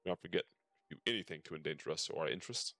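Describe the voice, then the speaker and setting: A man's voice: rumbling low, animated, rapid-fire, pushed and bright, no echo, faint music behind. A man streaming a video game, reading dialogue aloud and reacting casually, sometimes stumbling over words.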